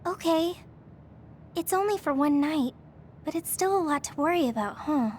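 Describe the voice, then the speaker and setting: Very high-pitched earnest young woman. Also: lively intonation, normal speed, slight background noise. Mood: earnest, helpful. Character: earnest, easily flustered young woman with flame powers. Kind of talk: anime game voice acting.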